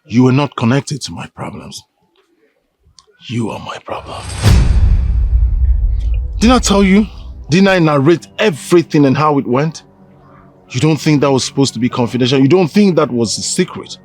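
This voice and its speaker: A man's voice: medium pitch, expressive, a little slow, long pauses, a little mellow, slightly rough, faint music behind. An actor playing a suspicious man who threatens and accuses a friend. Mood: accusing.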